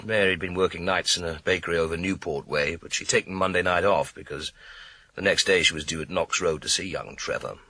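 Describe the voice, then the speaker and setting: An actor playing a weary, sardonic police inspector in a radio crime drama, blunt and dry in manner. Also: male voice, low voice, pushed and bright, slightly rough, no room sound, full character voice. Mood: tense, grim.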